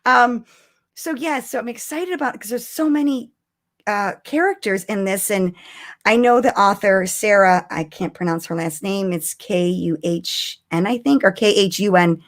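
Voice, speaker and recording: A woman co-hosting a casual live-streamed chat about fandom news, talking in an animated, chatty way with exclamations. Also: medium pitch, normal speed. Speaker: podcast co-host.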